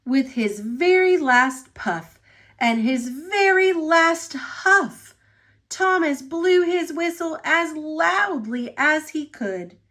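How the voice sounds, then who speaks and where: Woman reading a children's story with lively pitch. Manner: dramatic, deliberate phrasing for young listeners. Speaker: storybook reader. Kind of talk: expressive children's read-aloud narration.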